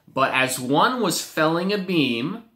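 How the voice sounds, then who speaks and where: A young man leads a children's Bible lesson from a small home office, his voice crisp and bright. He tells the story with animated energy and slips into playful acted-out voices. The webcam catches a roomy echo off the walls. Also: fairly high pitch, slow pace. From Wisconsin, USA. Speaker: youth pastor. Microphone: webcam at desk.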